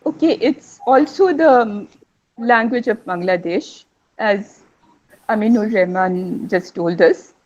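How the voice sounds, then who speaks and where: A woman's voice: mid-range voice, slow pace, darkish tone, lo-fi sound. A woman with a Bengali mother tongue, speaking as a panelist in a literary discussion, enthusiastic and rambling, often correcting herself mid-phrase.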